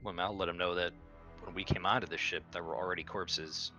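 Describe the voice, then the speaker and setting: A man's voice: deep voice, steady tone, a little fast, bright, forceful tone, dull audio, soft background music. A man playing a tabletop role-playing game, casually describing what his character does. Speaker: player.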